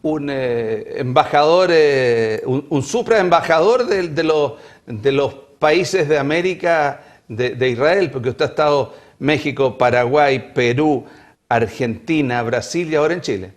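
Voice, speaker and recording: A man's voice: mid-range voice, unhurried. A man hosting a TV interview, asking long questions full of fillers.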